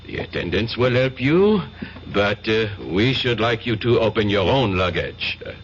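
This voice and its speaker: An actor playing a polite, methodical French police inspector in a radio drama, with fussy, hesitant speech. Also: male voice, lowish voice, big pitch swings, moderate pace. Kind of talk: 1970s radio drama acting. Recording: dull lo-fi old radio broadcast with faint hiss.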